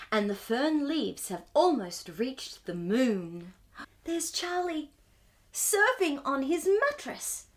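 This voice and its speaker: A woman hosting a storytime, reading a picture book aloud to young children in an expressive, wonder-filled way. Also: sits high. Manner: wide-eyed wonder, exclamations and gasps between passages.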